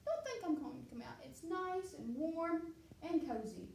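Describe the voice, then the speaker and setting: A woman's voice: high-pitched, expressive, creaky, darkish tone, pitched above the performer's own voice, exaggerated voice. A woman doing a stubborn lazy dragon. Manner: lazy drawn-out refusing. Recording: roomy distant mic, faint background noise.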